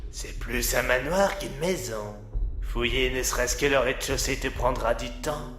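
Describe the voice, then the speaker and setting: A man voicing a taunting, ominous inner voice that haunts a guilty man in a French audio drama, slow and mocking. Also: medium pitch, a bit bright, soft background music, lightly acted.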